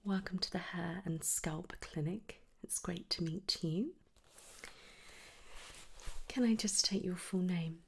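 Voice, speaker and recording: A woman softly whispering an ASMR roleplay as a gentle, reassuring hair clinic specialist. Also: on the low side, slow pace, long pauses, slight background noise. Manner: soft, gentle, reassuring clinician speech, often dropping into whisper. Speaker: ASMR performer playing a trichologist at a hair clinic.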